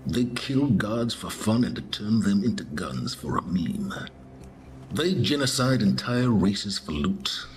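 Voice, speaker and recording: An actor playing an imperious, exasperated alien warlord in a video game, with emphatic, sardonic scorn. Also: male voice, on the low side, big pitch swings, noisy background. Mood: scornful, exasperated. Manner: slow, emphatic, scornful, profane. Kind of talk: video game character acting. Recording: played clip with loud music.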